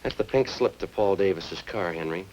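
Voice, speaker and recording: A man's voice: low voice, moderate pace, darkish tone, dull audio, close to an everyday voice. An actor playing a methodical male police sergeant in a 1950s radio crime drama, clipped, understated and matter-of-fact. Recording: noisy old-time radio recording.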